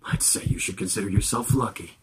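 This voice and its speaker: A man playing a sadistic, theatrical vampire in an audio roleplay, savoring his words with hushed menace. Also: fairly low pitch, steady tone, fast, very noisy, lightly acted. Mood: gloating, hungry. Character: predatory gloating male vampire, sadistic and theatrical. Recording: loud music over the voice. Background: music.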